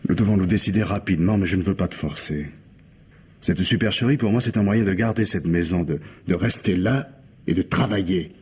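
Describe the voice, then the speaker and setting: Low-voiced, husky scheming husband. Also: male voice, fairly quick, darkish tone. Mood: coaxing, decided. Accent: French from France. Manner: insistent, reasoning, coaxing. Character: scheming, persuasive husband desperate to save his home. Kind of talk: radio drama acting.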